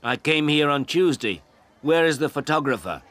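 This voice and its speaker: A man's voice: on the high side, lively intonation, moderate pace, fairly bright tone, dry recording, voice pushed up. A man voicing a stubborn old village farmer in a story, insistent and plain-spoken.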